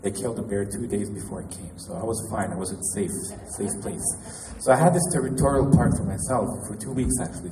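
A pianist and composer from Quebec with a Québécois French accent, introducing his show in a halting, casual way with restarts. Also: fairly low pitch, warm tone. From Quebec, Canada. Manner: halting, with restarts and light laughs. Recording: echoey hall from far off, noisy.

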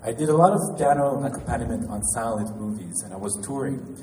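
A man's voice: medium pitch, darkish tone. French-Canadian man in an echoey hall. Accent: Québécois French. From Quebec, Canada. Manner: halting, with restarts and light laughs. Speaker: pianist and composer. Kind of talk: casual spoken introduction to a show. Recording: echoey hall from far off, noisy.

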